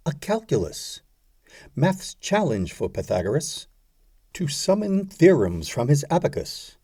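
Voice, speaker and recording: Slow, expressive man reading poetry. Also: fairly low pitch, dry recording, studio quality. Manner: measured, deliberate phrasing. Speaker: audiobook narrator reading poetry. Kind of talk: scripted poetry recitation.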